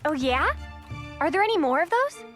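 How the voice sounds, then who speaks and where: A woman's voice: sits high, very animated, moderate pace, a bit bright, faint music behind. A woman playing a perky, curious young soldier in a video game, breezy and informal. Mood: upbeat.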